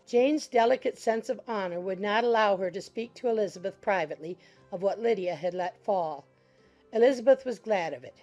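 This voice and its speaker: A woman's voice: mid-range voice, fairly quick, darkish tone, faint music behind. A woman narrating a classic novel as scripted literary audiobook narration.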